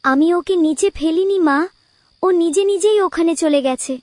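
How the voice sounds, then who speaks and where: A woman's voice: very high-pitched, moderate pace, darkish tone, dry recording. A woman voicing an innocent, timid little schoolgirl in a cartoon story, childlike and earnest. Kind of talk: Bengali cartoon story acting.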